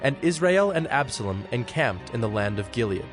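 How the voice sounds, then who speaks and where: A male narrator reads scripture in a medium-pitched voice. His delivery is solemn and measured, and music plays clearly underneath throughout. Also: very animated.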